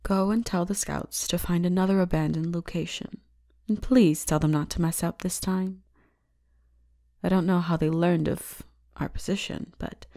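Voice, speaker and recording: A dominant yet caring demon lord's daughter, acted by a woman for an ASMR roleplay. Her voice is low and mellow, with a creaky edge. She speaks softly and takes her time, giving orders and teasing, and can slip into a whisper. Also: dry recording, studio quality. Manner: soft-spoken, unhurried, slipping into breathy whispers. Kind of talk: ASMR roleplay, intimate scripted character acting.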